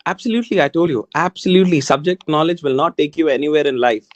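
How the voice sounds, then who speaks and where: A man with an Indian English accent, whose first language is Tamil, gives a casual, encouraging talk to students and calls them man and guys. His voice sits a bit high. The sound is dry and a little muffled. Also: fast, dry recording. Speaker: alumni guest speaker.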